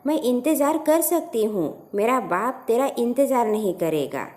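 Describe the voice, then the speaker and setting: Woman acting practical young woman in love, mellow-voiced. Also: fairly high pitch, animated, measured pace. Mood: teasing. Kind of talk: acted storytelling dialogue.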